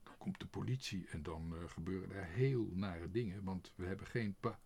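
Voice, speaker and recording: A man's voice: low voice, normal speed, creaky, darkish tone, slight background noise. Man as an earnest, hesitant young girl. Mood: hesitant explaining. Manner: hesitant, apologetic, explaining. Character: earnest, gentle young girl, her father's helper.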